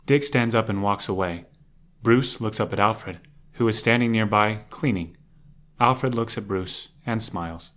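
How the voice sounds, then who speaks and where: Male narrator, scripted audio drama scene description, slightly low register. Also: a little slow, fairly bright tone.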